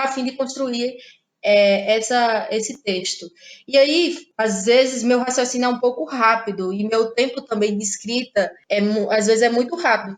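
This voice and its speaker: A woman from Ceará, Brazil, a playwright and actress, talking through her creative process in a relaxed interview, thinking aloud with frequent restarts. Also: a little high. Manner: thoughtful, halting, many restarts and fillers. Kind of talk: casual interview conversation.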